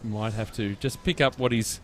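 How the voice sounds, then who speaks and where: A man calling a football broadcast in a relaxed, conversational way with occasional fillers. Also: fairly low pitch, normal speed, a little hiss or hum. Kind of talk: live sports commentary.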